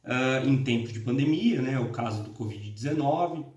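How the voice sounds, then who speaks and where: Echoey hesitant professor from Paraná interior. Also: male voice, medium pitch, expressive, normal speed, a little mellow. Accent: northern Paraná interior Brazilian Portuguese. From interior of Paraná, Brazil. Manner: hesitant, many fillers and self-corrections. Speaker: law professor and course coordinator. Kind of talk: informal recorded lecture. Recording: echoey room, mic at a distance.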